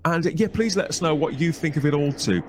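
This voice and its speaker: A man's voice: fairly high pitch, expressive, quick pace, background noise. A man hosting a podcast interview over a video call, upbeat and chatty, thanking guests and pointing listeners to links. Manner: upbeat, wraps up with thanks and plugs. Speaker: podcast host. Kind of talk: casual interview chat.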